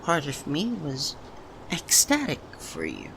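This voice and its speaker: A man playing a lovesick young man confessing to a childhood best friend in a halting ASMR roleplay monologue. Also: a little high, wildly swooping pitch, creaky, bright, forceful tone, a little hiss or hum, exaggerated voice, low-quality recording. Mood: sad, regretful. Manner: halting, breaks phrases with long pauses.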